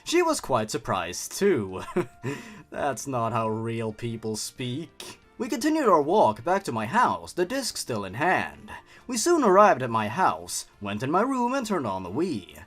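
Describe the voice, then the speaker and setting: A man's voice: medium pitch, huge pitch swings, measured pace, a bit bright. A man reading a horror story aloud theatrically, breaking off for sarcastic, mocking asides.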